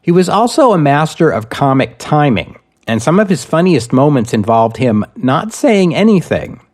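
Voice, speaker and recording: A man hosting and narrating a scripted history program, speaking in measured, deliberate phrases with pauses. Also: on the high side, sing-song, a little mellow. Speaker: podcast host and narrator.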